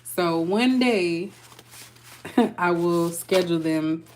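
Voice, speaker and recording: A woman's voice: on the low side, slow pace, darkish tone, low-quality recording. Chatty tarot reader woman, Texas drawl. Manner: chatty, loose, folksy with y'all. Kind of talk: casual spiritual reading.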